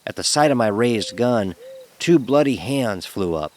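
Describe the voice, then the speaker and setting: A man telling a first-person horror story in a dramatic, expressive narrating style. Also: medium pitch, unhurried, slight background noise. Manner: expressive, acts out dialogue.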